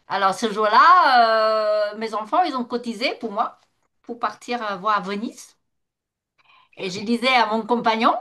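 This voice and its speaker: A woman's voice: a little high, pushed and bright. A retired woman from northern Vietnam, speaking French with a Vietnamese accent, who talks as a guest in an online debate, with many fillers and restarts. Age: older.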